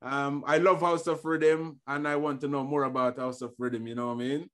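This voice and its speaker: A man's voice: medium pitch, level tone, normal speed, fairly bright tone. A young adult man hosting a podcast, chatting casually with a guest over a video call in a friendly, talkative way. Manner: friendly, chatty, lots of "you know what I mean". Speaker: podcast host. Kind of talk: casual interview chat. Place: home room with a banner behind him.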